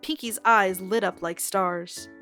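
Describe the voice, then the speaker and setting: Expressive woman narrator over light music. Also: medium pitch, slow pace. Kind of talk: expressive scripted fan fiction narration.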